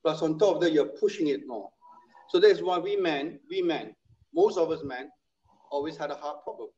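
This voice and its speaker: A man's voice: a little high, normal speed, mellow, breathy edge. A man in his sixties, talking casually and emphatically, often repeating his points. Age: 60s. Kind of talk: casual chat. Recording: muffled lo-fi audio.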